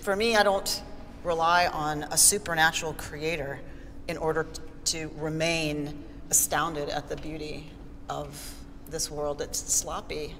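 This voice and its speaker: A woman's voice: sits low, steady tone, unhurried, fairly bright tone, long reverb tail, slight background noise. A woman in her fifties, a rabbi, speaking thoughtfully and searching for her words during a panel discussion. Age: 50s.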